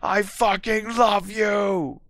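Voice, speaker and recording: A man's voice: high-pitched, level tone, extremely slow, fairly bright tone, no room sound, clean studio sound, voice pushed up, heavily acted. A man voicing a lovesick, brooding teenage goth boy who makes overwrought declarations. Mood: gushing.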